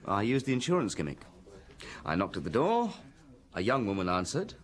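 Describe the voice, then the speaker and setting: A man's voice: on the low side, wildly swooping pitch, normal speed. An actor playing a dutiful male police detective in a radio drama, giving a matter-of-fact, conversational report to his chief. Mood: reporting briskly. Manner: conversational, matter-of-fact, mimics quoted speakers.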